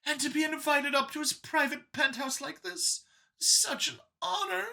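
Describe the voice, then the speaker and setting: Woman playing a gushing bright-voiced alien bird-elf lady. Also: high voice, normal speed, creaky, heavily acted. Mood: gushing admiration. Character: giddy, admiring female bird-elf alien. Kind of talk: audio story character dialogue.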